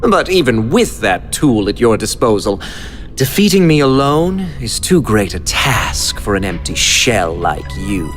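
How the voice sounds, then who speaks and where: An actor playing a gleeful, devious villain in a video game, speaking in flowery mock-politeness with theatrical, drawn-out words. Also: male voice, lowish voice, sing-song, a bit bright. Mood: amused, condescending. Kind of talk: anime-style video game villain acting. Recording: dramatic game music behind.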